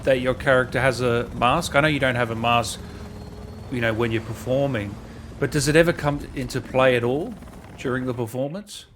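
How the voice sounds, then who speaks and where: A man's voice: medium pitch, normal speed, fairly bright tone, a little hiss or hum. A man hosting an interview, asking his guests questions in a casual, eager way. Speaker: host.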